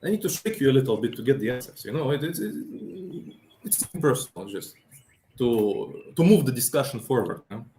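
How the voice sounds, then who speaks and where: A man's voice: mid-range voice, big pitch swings, moderate pace, dark tone, a little hiss or hum. Blunt, pushy man in a debate. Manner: blunt, pushy, repeats himself. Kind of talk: heated call-in debate.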